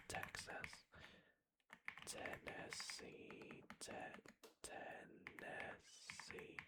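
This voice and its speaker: An ASMR creator whispering softly and hesitantly while typing, muttering and correcting themselves as they go. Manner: soft unvoiced whisper, slow and hesitant. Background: keyboard typing.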